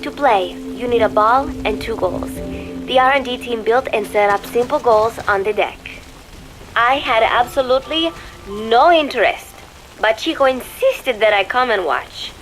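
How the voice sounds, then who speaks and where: A woman's voice: on the high side, a little slow, fairly bright tone, soft background music, rough recording. An actress playing a prim, secretive young woman in a video game, narrating an audio diary in a composed, precise, faintly disdainful way. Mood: measured, detached.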